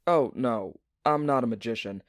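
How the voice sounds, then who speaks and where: An actor playing an earnest, modest young alchemist in an audio drama, explaining things politely and matter-of-factly. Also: male voice, medium pitch, moderate pace, no room sound, studio quality, close to an everyday voice.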